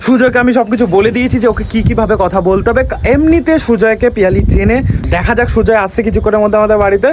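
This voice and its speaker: A man's voice: high-pitched, very fast. A man chatting casually to viewers as the host of a prank video. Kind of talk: casual vlog chat. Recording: faint background noise, phone recording.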